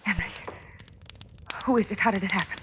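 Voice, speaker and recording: An actress playing a devoted, distressed young wife in 1940s radio melodrama, earnest and emotional. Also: female voice, mid-range voice, fairly quick, lightly acted. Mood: anxious. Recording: old radio recording with light noise.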